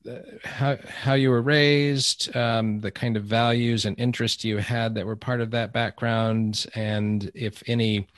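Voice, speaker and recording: A man hosting a faith podcast, reading a measured, earnest intro and then chatting with a guest with some hesitant fillers. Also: mid-range voice, steady tone. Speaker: podcast host. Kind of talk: scripted intro then interview. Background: music.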